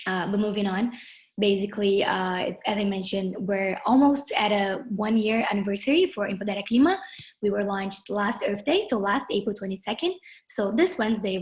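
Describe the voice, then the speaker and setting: Brazilian-born woman hosting a webinar. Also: mid-range voice. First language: Portuguese. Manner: friendly, many ums and uhs, slows on key phrases. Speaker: webinar moderator and founder. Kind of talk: online webinar introduction. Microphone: video call.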